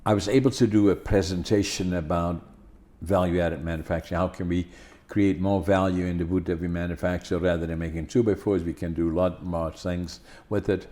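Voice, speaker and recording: Older Dutch-accented man, deep and mellow. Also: fairly even intonation. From Netherlands. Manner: halting, many restarts and fillers. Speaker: podcast host. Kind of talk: casual conversation.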